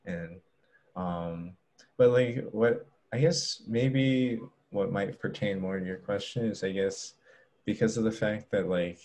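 A man's voice: lowish voice, slow, darkish tone. A young man as an interview guest, talking casually and hesitantly with many fillers and restarts.

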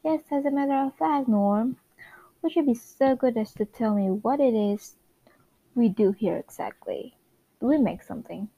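A woman's voice: a little high, normal speed, creaky, dark tone, no room sound, pitched above the performer's own voice, low-quality recording. A woman playing a polite, flustered male android office worker who speaks in formal, pedantic phrases. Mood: earnest.

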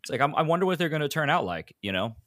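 A man's voice: fairly high pitch, sing-song, rapid-fire, fairly bright tone, dry recording, clean studio sound. A man chatting informally as a podcast guest, often stopping to correct himself mid-sentence. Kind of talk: casual interview chat.

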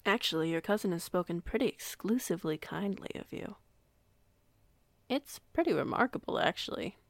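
A woman playing a composed, polite werewolf pack alpha in her late twenties in an audio roleplay, speaking in measured, formal phrases. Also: lowish voice, expressive, vocal fry, no echo. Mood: kindly. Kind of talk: audio roleplay acting, conversation.

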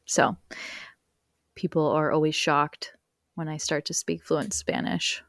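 Low-voiced young woman, casual rambling. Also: steady tone, slow pace, a bit bright, no room sound, clean studio sound. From United States. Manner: rambling, uses many fillers and "like". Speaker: podcast guest. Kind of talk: casual conversational interview.

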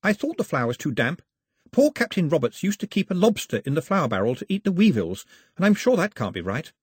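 A man's voice: on the high side, sing-song, fairly quick, husky, dry recording, studio quality, pitched above the performer's own voice. A man voicing an anxious, chatty shipwrecked young girl who rambles out apologies.

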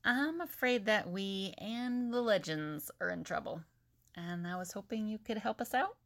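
A woman playing a brisk, take-charge leader of a fugitive team in an audio drama, with a creaky voice. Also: medium pitch, animated, fairly bright tone. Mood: earnest.

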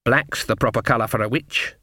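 A man voicing a sharp, stubborn old female witch with rustic, plain-spoken speech in a storybook reading. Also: medium pitch, fairly quick, dry recording, studio quality. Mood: stern, firm.

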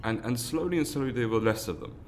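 A man's voice: mid-range voice, very animated, fast talker, gravelly, darkish tone, a little hiss or hum. A man, a foundation founder giving earnest interview answers, often restarting and repeating words. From London, England. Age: 30s.

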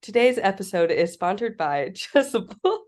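A woman's voice: mid-range voice, sing-song, moderate pace, a little mellow. A young woman who is a graduate student, guesting on a podcast, chatting in a bubbly way, saying "like" often and laughing. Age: young adult. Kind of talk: casual interview chat.

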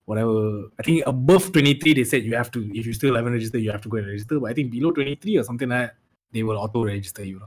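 A man's voice: mid-range voice, rapid-fire. Malaysian english man in his 20s, podcast cohost, easygoing chatter, laughs a lot, curses. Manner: laughs often, swears freely, loose restarts. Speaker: podcast co-host. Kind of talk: casual chat.

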